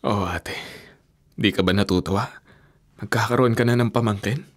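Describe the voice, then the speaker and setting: An actor with a Filipino accent playing a devoted, soft-hearted young husband in a radio drama, speaking earnestly and gently. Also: male voice, fairly low pitch, steady tone, moderate pace, lightly acted. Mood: mildly defensive. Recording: music bed behind dialogue.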